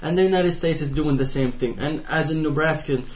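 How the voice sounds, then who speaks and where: A man's voice: fairly high pitch, brisk. A young man from Iraq speaking as a panelist in a discussion, impassioned and often restarting his sentences. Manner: impassioned, restarting and repeating himself.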